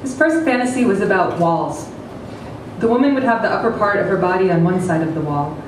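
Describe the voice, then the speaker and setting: A woman's voice: mid-range voice, lively intonation, a little fast. A woman reading scripted narration from a novel at a staged literary reading. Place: indoor stage, seated at a table with microphones. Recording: echoey hall, distant, clear background noise.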